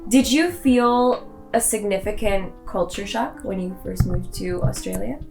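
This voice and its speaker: A woman's voice: medium pitch, unhurried, gravelly, darkish tone, reverberant, soft background music. A woman co-hosting a podcast, asking questions in an upbeat, encouraging way. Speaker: podcast co-host. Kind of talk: casual chat.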